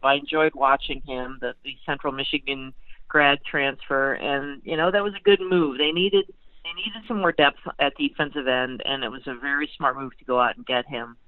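A woman talking sports as a guest analyst over the phone, conversational and halting, often repeating her words. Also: a bass voice, steady tone, no echo. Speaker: newspaper beat writer, guest analyst. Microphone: phone call. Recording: tinny narrow-band phone line.

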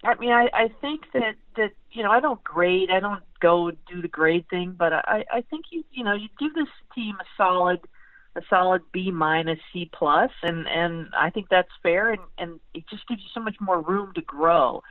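A woman sports beat writer giving conversational football analysis as a guest, in a halting way with repeated words and many you-knows. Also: lowish voice, expressive, no room sound, narrow, tinny audio.

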